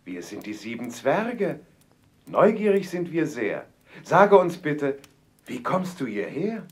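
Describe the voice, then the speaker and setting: A man voicing a cheerful, curious little dwarf in a fairy-tale audio play, speaking in lively rhymes. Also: on the high side, takes it slowly. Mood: friendly. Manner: lively, rhyming, bouncy.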